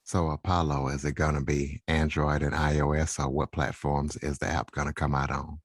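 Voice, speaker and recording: A man's voice: a bass voice, little pitch movement, normal speed, no room sound, clean studio sound. A man hosting a conversational interview, asking questions and adding brief comments. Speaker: podcast interviewer and host.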